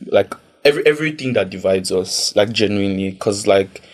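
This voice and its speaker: A young man with a low voice, co-hosting a studio podcast. He speaks sincerely and plainly, padding his thoughts with frequent "like"s. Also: expressive, fairly quick. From Nigeria. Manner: earnest, fills with "like". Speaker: podcast co-host. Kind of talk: casual podcast chat.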